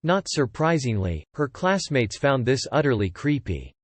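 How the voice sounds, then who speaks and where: A man narrating a scripted audiobook story in a measured storytelling manner. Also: medium pitch, very animated, no room sound.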